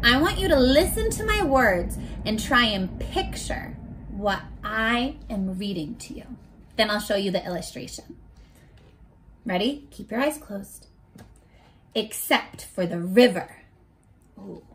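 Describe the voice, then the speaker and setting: A woman in her twenties reading a picture book aloud to young children as a teacher, expressively, pausing to talk to her listeners. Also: mid-range voice, expressive, background noise. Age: 20s. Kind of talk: children's read-aloud with simple questions to listeners. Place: small furnished bedroom, indoors.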